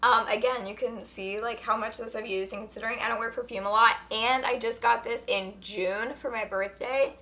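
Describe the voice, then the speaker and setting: A young woman with a hoarse voice from a sore throat, chatting casually as she reviews beauty products, full of filler words. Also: on the high side, steady tone, normal speed, few pauses, bright, forceful tone, rough recording. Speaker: beauty vlogger.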